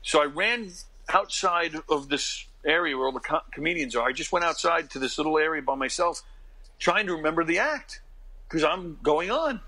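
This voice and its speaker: A fifty-year-old man, chatting casually as an interview guest and telling stories in an animated, conversational way. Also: fairly high pitch, moderate pace, rough recording. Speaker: interview guest, actor and comedian. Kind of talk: casual interview anecdotes and advice.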